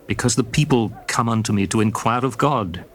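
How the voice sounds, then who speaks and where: An actor playing a grave, authoritative biblical leader and judge, speaking firmly and formally in scripture drama. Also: male voice, medium pitch, a little fast, close to an everyday voice. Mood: grave explaining. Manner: firm, formal explanation. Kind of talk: dramatized King James scripture acting.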